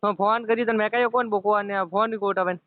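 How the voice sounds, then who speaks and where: A man's voice: high-pitched, fairly even intonation, quick pace, no room sound. A man with a Rajasthani accent chatting casually on a private phone call. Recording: tinny phone line with background noise.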